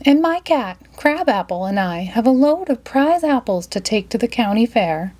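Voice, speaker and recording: Woman playing a high, plucky old granny. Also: very animated, moderate pace, a little mellow. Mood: matter-of-fact.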